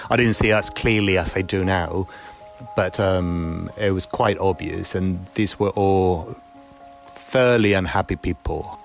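A man's voice: deep voice, measured pace, gravelly, faint music behind. A man in his forties from Argentina, speaking English, telling his life story into phone voice recordings with many restarts and repeated words. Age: 40s. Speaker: storyteller guest. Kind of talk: personal life story, casual monologue. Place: small weekend cabin by a lake.

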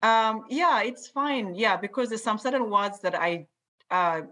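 A woman from Kenya with a Kenyan accent, speaking slowly and carefully as a guest on a panel discussion. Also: mid-range voice, sharp tone. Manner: measured, deliberate enunciation.

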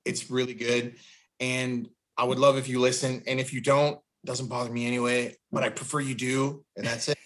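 A man's voice: mid-range voice, level tone, normal speed, fairly bright tone. A man bantering on a podcast in a casual, playful and blunt way.

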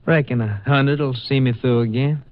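An actor playing a smug, folksy blackmailer who drawls mock-friendly threats in a 1950s radio drama. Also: male voice, medium pitch, fairly even intonation, moderate pace, no echo, lightly acted. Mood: smug, menacing. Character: folksy, sly male blackmailer, smugly threatening. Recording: dull vintage radio recording.

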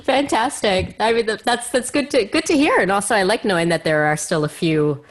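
A woman's voice: medium pitch, a little fast. A woman hosting a conversational interview, circling tentatively toward her questions. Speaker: podcast host.

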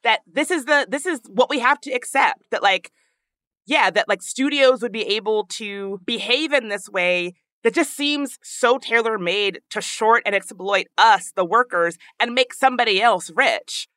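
A woman with a high voice, hosting a podcast in casual, earnest discussion, thinking aloud with frequent restarts. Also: measured pace, sharp tone, dry recording. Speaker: podcast host.

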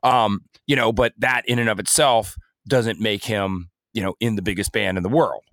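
A man with a deep voice co-hosts a casual discussion podcast. He explains his points earnestly, in the way of an advisor, and leans on "you know" as he goes. The sound is close and dry, with very little room to it. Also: steady tone, moderate pace, a bit bright, no room sound. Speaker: podcast co-host and music artist manager.

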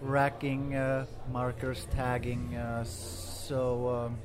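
A man's voice: mid-range voice, flat delivery, drawn-out words, over music. A man in his forties, from Finland and raised in Sweden, speaking casually with many fillers as an interview guest. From Finland, grew up in Sweden. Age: 40s. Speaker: guest artist.

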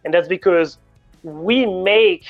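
A man's voice: sits high, takes it slowly, gravelly, narrow, tinny audio, faint music behind. A man from France with a French accent, a native French speaker, answering interview questions as a coach in a candid, reflective way. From France, grew up in Paris. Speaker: guest, coach.

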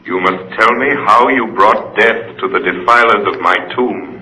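A man's voice: fairly low pitch, moderate pace, pushed and bright. An actor playing an imperious ancient pharaoh speaking from his tomb, formal and commanding, in 1930s radio thriller style. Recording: echoey 1930s radio recording.

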